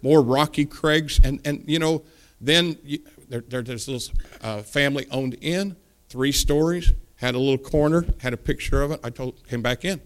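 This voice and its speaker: A man's voice: mid-range voice, normal speed, clean tone. Folksy man preaching a sermon. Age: 40s. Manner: folksy storytelling, rising to emphatic preaching.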